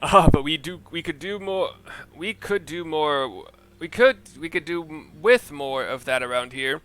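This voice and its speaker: A man's voice: on the high side, expressive, measured pace, background noise. A man voicing a hard-drinking, sentimental old comrade from a fantasy game, hearty and theatrical. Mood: tearful heartiness. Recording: music behind the voice.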